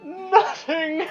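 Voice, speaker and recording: A man's voice: very high voice, dull audio, heavily acted. A man playing a cruel, gloating pharaoh in a dramatic story reading, speaking with swooping contempt.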